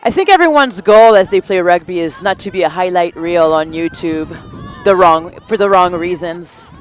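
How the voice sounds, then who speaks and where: A woman's voice: on the low side, moderate pace. A woman commentating on a rugby match, analytical and chatty, with many filler words. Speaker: color commentator. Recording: outdoor noise behind the voice.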